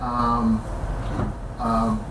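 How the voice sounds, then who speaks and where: A man reading his poems aloud and telling the story behind one between them, with measured pacing and casual fillers. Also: medium pitch, monotone. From New Jersey. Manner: measured reading with dramatic slowing on key phrases. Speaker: poet. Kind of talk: poetry reading with spoken introduction. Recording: roomy, distant live recording with background noise.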